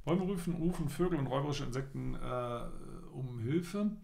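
A man's voice: mid-range voice, a little fast, vocal fry, a little mellow, slight background noise. A middle-aged man co-hosting a casual live stream, chatting and joking while reading headlines aloud. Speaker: co-host. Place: indoor room, seen on video.

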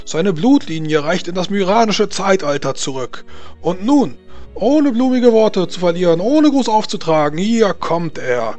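A man's voice: high-pitched, very animated, soft background music, voice pushed up. A man voicing a pompous, scornful tournament herald who declaims grandly in a tabletop game. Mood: building fanfare. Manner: pompous, declaiming, drawn-out shouted names. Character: bombastic, scornful male tournament herald hyping champions.

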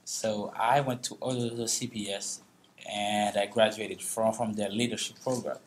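A middle-aged man from Haiti with a Haitian accent, telling personal stories as a guest speaker, halting with many restarts and frequent laughs, in a large meeting room. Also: lowish voice, fairly even intonation. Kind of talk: storytelling on a panel.

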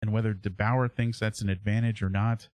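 A man in his thirties hosting a sports podcast, giving casual analysis in a halting way as he thinks aloud. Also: lowish voice, flat delivery, a little mellow, no echo. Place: small indoor room at home, on a livestream webcam.

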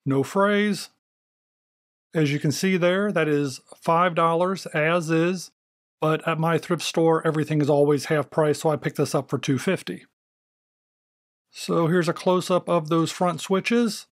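An American man narrates a casual demo as a video host. His voice sits a little higher than average for a man. The sound is close and dry, with very little room in it. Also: a little slow, no echo.

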